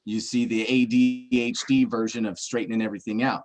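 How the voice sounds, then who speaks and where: Man, mid-pitched, casual clue-by-clue explainer, keeps asking "right?". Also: fairly even intonation, fairly quick, darkish tone. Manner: walks through puzzle clues step by step, asking for agreement. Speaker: treasure-hunt searcher presenting clues. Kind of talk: casual livestream chat.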